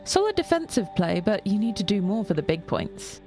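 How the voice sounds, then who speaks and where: A woman voicing a wry office colleague in an audio drama, a caring woman with a playful, ironic tease. The voice is lifted and noticeably creaky, over faint music. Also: medium pitch, sing-song, moderate pace. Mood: teasing.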